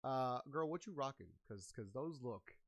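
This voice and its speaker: A man's voice: medium pitch, expressive, moderate pace. A man hosting a casual podcast chat in a hyped, slangy, playful way. He sounds a bit nasal and congested while getting over a sinus infection. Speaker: podcast host.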